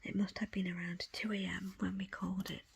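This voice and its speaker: A woman reading a book aloud as ASMR in a breathy, unvoiced whisper, with hushed, deliberate phrasing in short pieces. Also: lowish voice, flat delivery, very noisy. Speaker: audiobook reader.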